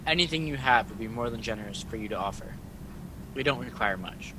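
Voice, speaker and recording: On clearly noisy home audio, a man plays a wise, calm angel in a radio play. The voice is of average pitch, courteous and formally measured. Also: close to an everyday voice. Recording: noisy home video-call recording.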